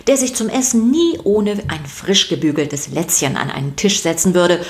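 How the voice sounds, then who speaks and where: Woman, lowish pitch, scripted children's podcast narration, expressive storyteller. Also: moderate pace.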